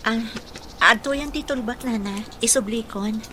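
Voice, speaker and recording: A woman's voice: fairly high pitch, normal speed, bright, forceful tone, slight background noise. A woman playing a humble, struggling single mother in a radio drama, polite and earnest. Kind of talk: Philippine radio drama acting.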